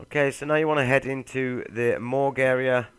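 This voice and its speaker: A man narrates a gaming walkthrough in a middle-pitched voice. He is informal and laid-back, addressing his viewers directly as he gives directions. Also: fairly even intonation, fairly quick, clear, resonant tone. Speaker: gaming video host. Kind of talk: casual game walkthrough voice-over.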